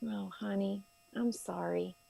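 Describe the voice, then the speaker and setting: An actress playing a caring, nagging middle-aged mother with a creaky voice in a radio drama. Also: medium pitch, steady tone, unhurried, warm tone, no echo, heavily acted. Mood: concerned.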